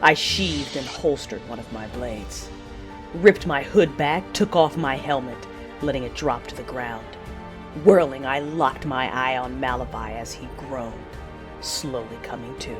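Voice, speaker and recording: A woman playing a betrayed, commanding dark-side warrior in an audio drama, narrating in the first person with intense, deliberate menace. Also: rumbling low, music in the background. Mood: tense, fierce.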